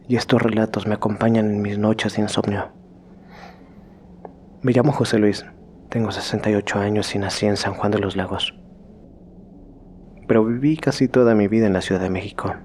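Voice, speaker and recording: A man reading out a horror story in slow, hushed scripted narration that sometimes sinks to a whisper. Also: on the low side, a little hiss or hum.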